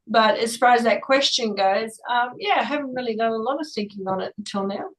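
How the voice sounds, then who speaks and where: A woman's voice: on the high side, fairly quick, poor audio. A woman from Germany, a native German speaker, chatting casually and tentatively in a group discussion as she thinks aloud. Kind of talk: casual reflective chat.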